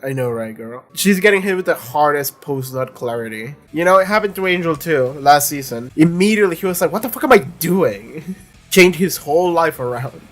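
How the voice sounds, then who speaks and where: A man's voice: on the high side, expressive, brisk, faint music behind. A young man with a congested voice, hosting a casual reaction video and chatting bluntly, with plenty of swearing and laughter.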